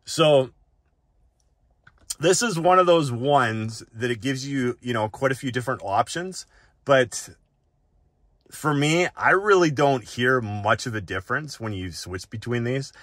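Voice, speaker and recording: A man's voice: mid-range voice, lively intonation, unhurried, a bit bright, no room sound, studio quality. A man hosting a gadget walk-through in casual, unscripted tutorial talk, conversational and often checking in with short tag questions. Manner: conversational, often tags sentences with "right?".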